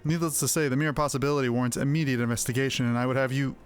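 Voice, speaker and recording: An earnest, dutiful fantasy official, played by a man in a game, speaks at a medium pitch. His phrasing is articulate, formal and old-fashioned, with faint music underneath. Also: steady tone, very fast, natural voice. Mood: earnest, concerned. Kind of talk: dramatic fantasy game dialogue.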